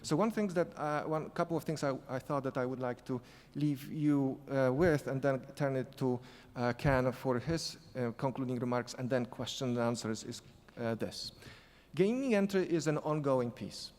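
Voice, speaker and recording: A man with a non-native accent speaks at a conference panel, his mid-pitched voice carrying a long hall reverb. He talks in a halting way, with frequent restarts and fillers. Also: darkish tone. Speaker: sport psychology consultant on a panel. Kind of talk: storytelling conference panel talk.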